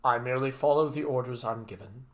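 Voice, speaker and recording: A man voicing a devoted butler in an audio story, speaking in a formal, courteous and measured way. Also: mid-range voice, fairly bright tone, natural voice. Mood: dutiful, calm. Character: devoted, formal male butler, calm and dutiful.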